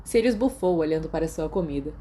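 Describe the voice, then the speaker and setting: A woman's voice: sits low, expressive, fast, warm tone, a little hiss or hum. A woman with a Brazilian accent reading scripted story narration as an audiobook narrator.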